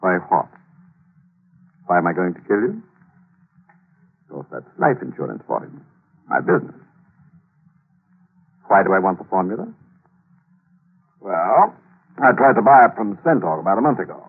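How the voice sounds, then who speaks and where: Smug villainous spy, deep husky voice, swooping intonation, sardonic, toying, old tinny radio drama. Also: male voice, very animated, normal speed, long pauses, exaggerated voice. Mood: smug menace. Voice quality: gravelly, husky. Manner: sardonic, leisurely, toying, hesitant murmurs. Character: smug male Nazi spy villain with a gun. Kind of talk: 1940s radio thriller acting. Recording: tinny 1940s radio recording.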